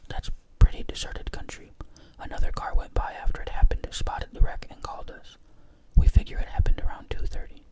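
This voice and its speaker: A reader whispering the part of a slow, solemn male police sergeant in an audiobook. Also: warm tone, lightly acted. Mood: grave, firm.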